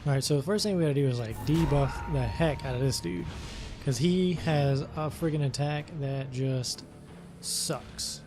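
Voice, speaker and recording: A man's voice: mid-range voice, moderate pace. A man hosting a let's play, chatting casually as he plays and thinking aloud with many hesitations. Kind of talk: casual gameplay commentary. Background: game music.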